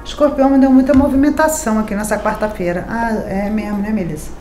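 A woman's voice: on the high side, expressive, fast talker, mellow, soft background music. Chatty Brazilian woman reading tarot, echoey room. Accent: Brazilian Portuguese. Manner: chatty and emphatic, addressing viewers directly with tag questions. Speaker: tarot reader. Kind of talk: daily horoscope card reading to camera. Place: small decorated home studio room. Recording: echoey room with faint ambient noise.

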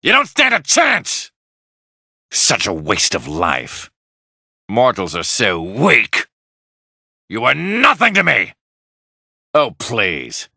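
An arrogant, godlike male villain from a fighting game. His voice is very sharp and forceful, with a creaky edge. He delivers clipped, menacing, condescending lines extremely slowly. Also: medium pitch, wildly swooping pitch, dry recording, studio quality, exaggerated voice. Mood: aggressive, shouting. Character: arrogant, cold male supervillain with god complex.

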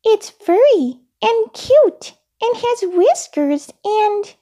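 A woman's voice: extremely high pitch, lively intonation, drawn-out words, warm tone, voice pushed up, full character voice. A woman voicing a small, eager, polite monster with a childlike manner for a children's storybook. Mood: polite, hesitant. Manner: childlike, refers to self in third person.

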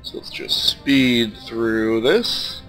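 A man with a stuffed-up, nasal voice giving relaxed, casual commentary while playing a strategy game. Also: mid-range voice, extremely slow, soft background music, poor audio. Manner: laid-back, thinking aloud as he plays. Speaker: let's play commentator.

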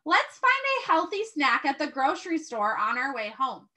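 A patient, friendly storybook dad played by a woman explains things with clear emphasis. The voice is high and bright, with very lively swings in pitch. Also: normal speed, heavily acted. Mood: firm but kind. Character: friendly, patient dad teaching his young daughter. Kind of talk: children's storybook read-aloud.

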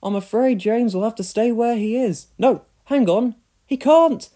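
A flustered railway signalman in a children's storybook, acted by a male reader. His voice rides high as he speaks apologetically. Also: measured pace, dark tone. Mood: flustered apology.

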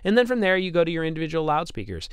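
A man's voice: on the high side, big pitch swings, racing, clean tone, no echo, studio quality. A man teaching as an instructor, explaining technical material in an informal, conversational lecture with occasional stammered repeats. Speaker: instructor, audio engineer. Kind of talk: informal lecture over slides.

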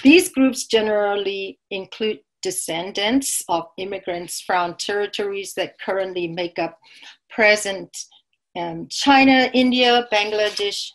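Woman lecturer on a video call, mid-pitched, measured academic delivery. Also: rough recording. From Myanmar. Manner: measured, with occasional self-corrections. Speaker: keynote lecturer. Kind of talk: academic lecture over slides.

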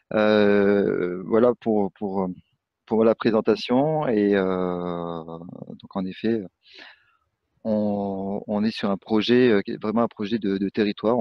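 A young man co-presents a webinar from his office over a video call, his low voice stopping and restarting around frequent fillers. The sound is muffled and dry, with no room around it. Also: no echo. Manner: halting, many fillers and restarts.

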